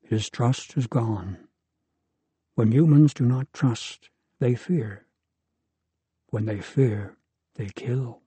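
A man voicing a male archangel who speaks quietly and slowly, with cold calm. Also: medium pitch, breathy edge, no echo. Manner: quiet, slow, measured.

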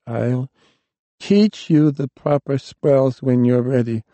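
A man's voice: medium pitch, slow pace, mellow, dry recording, voice pushed up. A man voicing an ancient, frail male warlock who is dying, with a thin, strained old voice, in an audiobook reading. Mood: weak.

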